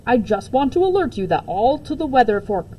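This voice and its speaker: A woman's voice: fairly high pitch, sing-song, a little fast, dark tone, a little hiss or hum, pitched above the performer's own voice, low-quality recording. A woman voicing a smart, funny male school principal making announcements to pupils in a children's storybook. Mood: announcing, cautioning. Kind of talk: children's storybook read-aloud.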